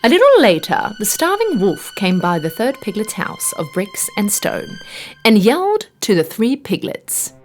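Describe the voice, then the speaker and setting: Woman storyteller with a very animated voice. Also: mid-range voice. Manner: expressive, deliberate storytelling. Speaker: storyteller, narrator. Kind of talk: scripted children's story narration. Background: music.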